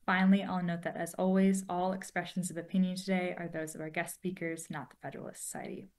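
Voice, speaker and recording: A woman hosting a webinar, reading clear, formal opening announcements. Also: fairly low pitch, flat delivery, quick pace, a little mellow. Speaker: webinar host. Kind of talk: scripted introduction.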